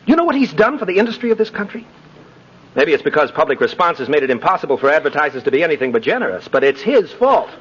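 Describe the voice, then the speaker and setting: An actor playing an idealistic, persuasive lawyer, earnest and emphatic, in 1950s radio drama style. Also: male voice, fairly high pitch, sing-song, fast, fairly bright tone, breathy edge, exaggerated voice. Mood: enthusiastic. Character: idealistic, persuasive young male defense lawyer. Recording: noisy, dull 1950s radio recording.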